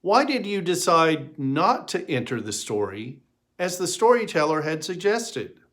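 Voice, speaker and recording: A man voicing a gentle, patient, reassuring church minister in a storybook reading, with a questioning manner. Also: a little high, sing-song, measured pace, voice pushed up. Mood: gently probing.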